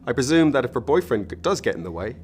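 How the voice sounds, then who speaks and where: A man's voice: mid-range voice, big pitch swings, fast talker, darkish tone, natural voice. Callous henchman, acted by a man, noisy recording. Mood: callous, menacing. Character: callous male henchman agent, obedient and menacing. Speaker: actor in a radio drama. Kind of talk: radio drama dialogue.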